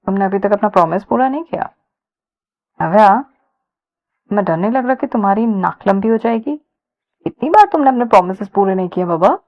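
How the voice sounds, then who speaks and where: A teasing young man who coaxes and toys with his sweetheart, voiced by a woman narrator at her everyday pitch. The delivery is playful and sly, in romance audio story style. The sound is slightly dull. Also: lively intonation, a little fast, pauses often, lightly acted. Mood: playful chiding.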